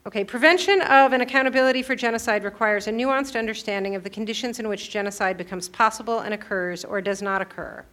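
A woman presenting her research at a panel, reading a prepared paper in a measured, formal way. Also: medium pitch, a bit bright. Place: lecture room at a panel event.

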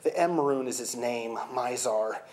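A man's voice: mid-range voice, unhurried, a little mellow, lightly acted. A man doing a tense young sorceress. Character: anxious young woman spellcaster, concentrating.

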